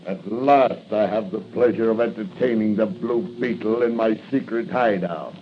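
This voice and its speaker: A man's voice: lowish voice, moderate pace, close to an everyday voice. Man acting gloating masked radio villain, muffled recording. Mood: gloating menace. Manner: gloating, menacing, theatrical. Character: sinister, gloating masked killer, agent of a crime ring. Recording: dull, noisy 1940 radio recording.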